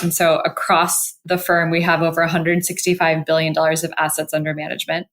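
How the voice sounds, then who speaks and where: A woman from Wisconsin answering interview questions, her voice on the low side. She speaks fluently and in a composed, articulate way, as a guest explaining her work. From Milwaukee, Wisconsin. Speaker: podcast guest, venture investor.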